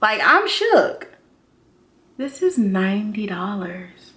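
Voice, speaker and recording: A woman in her thirties chatting casually and excitedly to viewers as a beauty vlogger, expressive and slangy, repeating herself for emphasis. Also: medium pitch, takes it slowly. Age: 30s. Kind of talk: casual excited unboxing chat.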